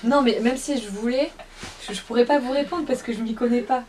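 A woman's voice: fairly high pitch, a little fast, over a noisy crowd. A young woman chatting casually as a panelist. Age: young adult. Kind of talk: casual chat. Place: small meeting room around a table. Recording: distant mic with clear background noise.

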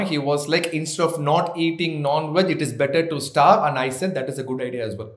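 An Indian man in his thirties gives health tips straight to camera, his voice sitting a little high for a man. He works through numbered points with dry little jokes along the way. The sound carries the echo of a bare office room. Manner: gently joking, lists points one by one. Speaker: doctor, health channel host. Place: office with framed certificates, indoors. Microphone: seated, medium shot to camera.

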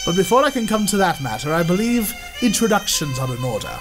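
A pompous, boastful explorer holds court in a vintage-style radio adventure serial. His voice sits slightly high and carries a theatrical swagger, with soft music running beneath. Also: sing-song, fast. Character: pompous boastful male explorer, middle-aged. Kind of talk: 1930s radio serial acting.

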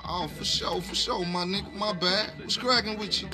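An actor playing a cocky, hot-tempered gangster who talks in brash, mocking slang in a video game. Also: male voice, high-pitched, steady tone, moderate pace, music in the background, close to an everyday voice. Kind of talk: video game cutscene acting.

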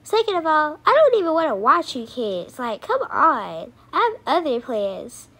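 A woman's voice: sits high, very animated, moderate pace, pushed and bright, full character voice. A woman voicing a snooty, bossy young fiancée in an amateur cartoon doll story, with haughty phrasing. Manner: haughty, valley-girl phrasing. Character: snooty, bossy young fiancée, mean behind false sweetness. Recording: clearly noisy home recording.

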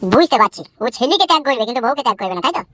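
A man's voice: very high voice, sing-song, rapid-fire, pushed and bright, full character voice. A man voicing a nosy, sympathetic young village friend in a comic cartoon, chummy. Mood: curious.

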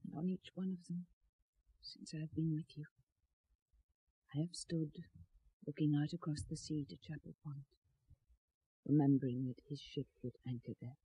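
Casting: a proud, grieving medieval lady for radio drama, dark mellow voice, heavily breathy. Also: low voice, fairly even intonation, moderate pace, pauses often, no room sound, rough recording. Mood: wistful grief. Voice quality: soft, breathy. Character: sorrowful, proud medieval noblewoman fleeing her husband. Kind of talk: 1970s radio drama acting.

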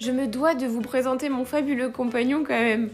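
A woman's voice: sits high, fairly even intonation, brisk. A woman in her twenties, a young mother, chatting through a cleaning vlog voice-over and praising a product with enthusiasm. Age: 20s. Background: faint background music.

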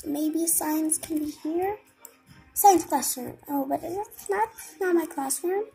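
A woman's voice: extremely high pitch, slow, gravelly, warm tone, faint music behind. A young girl giving casual gameplay commentary, thinking aloud in a childlike murmur to herself. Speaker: gamer. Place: indoors at a computer, screen filmed by camera.

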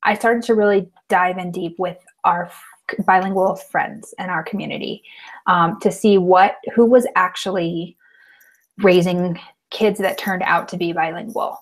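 A woman's voice: lowish voice, fairly even intonation, normal speed, poor audio. Chatty woman from Colorado on a call. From Colorado, United States. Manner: chatty, laughs often. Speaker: podcast co-host. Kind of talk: casual chat. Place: small room at home. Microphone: earbud headset on a video call.

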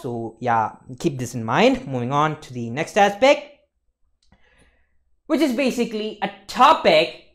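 A young man with an Indian accent delivers an instructional lecture as an exam tutor. His pitch swings widely from phrase to phrase as he stresses points and keeps checking that listeners follow. He is recorded in a studio. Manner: emphatic, with frequent checking tags like "okay, folks".

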